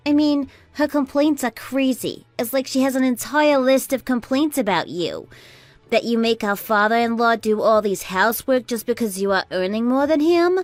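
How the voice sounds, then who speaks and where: A woman's voice: high-pitched, dry recording. A woman playing a chatty, weary in-law who complains and gossips in an audio drama. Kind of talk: conversational drama dialogue.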